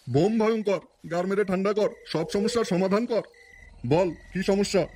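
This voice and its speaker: Casting: man as crude fraudulent holy man, high male voice, pompous chanting delivery, comic cartoon, noticeably noisy recording. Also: moderate pace, close to an everyday voice. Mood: bombastic chanting. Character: bombastic, vulgar fraudulent tantric holy man.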